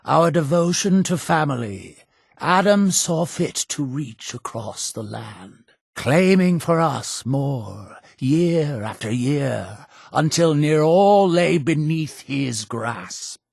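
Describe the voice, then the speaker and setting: Gravelly, zealous cult leader. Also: male voice, fairly high pitch, expressive, a little mellow, no echo, clean studio sound, exaggerated voice. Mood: solemn storytelling. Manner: solemn, deliberate, preacher-like oratory with dramatic pauses. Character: male cult leader, zealous, imperious, pious and guarded. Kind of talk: video game character dialogue.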